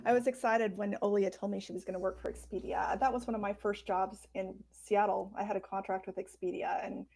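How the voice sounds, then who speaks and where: A woman's voice: mid-range voice, steady tone, brisk, creaky, hardly any pauses. A woman co-hosting an interview, chatting in an encouraging, friendly way. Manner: encouraging, chatty, warm praise of guest. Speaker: co-host. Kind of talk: casual interview chat.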